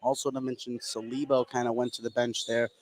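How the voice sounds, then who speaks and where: A man's voice: mid-range voice, flat delivery, fairly quick. An American man giving conversational analysis as a color commentator at a basketball game. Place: courtside in an indoor gym. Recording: clearly noisy gym sound. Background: gym noise.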